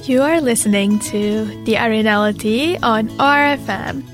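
Higher-pitched woman hosting a radio podcast. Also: normal speed, music in the background. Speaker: podcast host. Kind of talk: casual radio interview.

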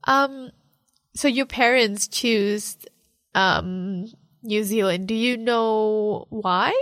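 Woman interviewer, slow and gentle, warm murmured encouragement, dry close recording. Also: mid-range voice, no echo. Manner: soft-spoken, encouraging with frequent murmured acknowledgements. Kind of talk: conversational interview.

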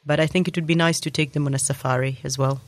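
A woman's voice: deep voice, fairly even intonation, fast. A woman in her thirties, originally from Kenya, thoughtfully telling her story in a casual interview with frequent ums. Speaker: interview guest.

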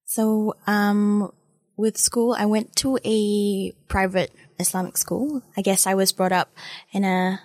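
A woman's voice: mid-range voice, flat delivery, slow pace. Hesitant young woman interview guest. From Malaysia. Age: 20s. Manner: hesitant, with many ums and uhs. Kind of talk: casual interview talk.

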